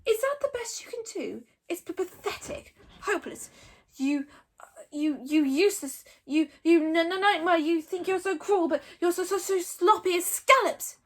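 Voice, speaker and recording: A woman doing a squeaky stammering little dragon. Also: normal speed. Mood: jeering. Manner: stutters, high squeaky complaining. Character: small stammering grumpy young male dragon.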